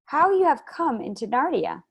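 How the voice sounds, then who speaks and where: Lady doing a fussy little faun guy, eager and formal, kinda raised and excited. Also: high-pitched, normal speed, creaky, pitched above the performer's own voice, exaggerated voice. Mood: courtly, eager. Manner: eager, formal, slightly flustered. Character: polite, fussy male faun, gentle and courtly.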